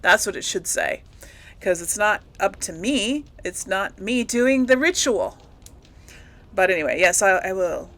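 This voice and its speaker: A woman gives casual commentary on a role-playing video game. She talks slowly in a mid-pitched voice, hesitating and musing as she goes. She sounds amused and slips into speaking her character's dialogue. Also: expressive, a bit bright. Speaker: gamer hosting a role-play let's play.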